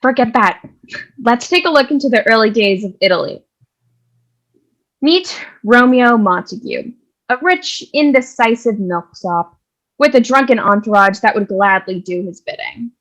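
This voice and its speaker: A woman's voice: fairly high pitch, expressive, a little slow, fairly bright tone. A woman playing a brash, sarcastic, scolding nun narrator in a comic stage reading. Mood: wry, bossy. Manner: scolding, sassy. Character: brash, sarcastic nun who takes over storytelling.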